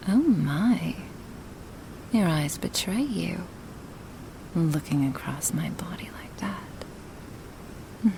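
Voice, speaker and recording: Woman as huge female dragon for ASMR roleplay: earnest, affectionate, soft and intimate, very unhurried, with a creaky edge. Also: medium pitch, slight background noise, rough recording. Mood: teasing, flirtatious. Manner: slow, intimate, breathy whispering in places, formal wording.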